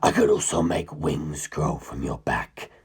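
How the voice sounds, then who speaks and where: A man voicing an ancient, menacing inhuman being in a dramatic story, gravelly and breathy, with grandiose, condescending speech. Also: measured pace, heavily acted. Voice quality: very deep, gravelly, husky, breathy. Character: ancient, menacing, superior male non-human predator musing on humans. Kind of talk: dramatic audiobook character reading.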